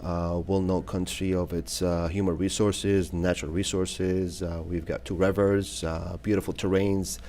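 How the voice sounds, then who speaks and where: A man in his thirties from Iraq speaks as a guest in a casual interview. His voice sits very low and has a dark, mellow tone. He talks haltingly, with frequent fillers and restarts. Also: level tone, a little hiss or hum. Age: 30s. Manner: hesitant, many fillers and restarts.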